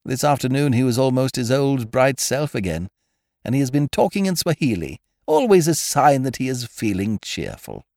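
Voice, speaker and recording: A man's voice: medium pitch, sing-song, normal speed, a little mellow, no echo, clean studio sound, pitched above the performer's own voice. A man voicing a fussy elderly aristocratic lady in a storybook, speaking primly and fretfully. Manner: prim, fretful, genteel.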